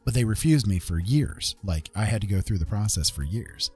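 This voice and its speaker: A man talking casually and candidly as a livestream host, confiding in listeners in a halting, conversational way. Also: on the low side, animated, darkish tone, slightly rough, faint music behind.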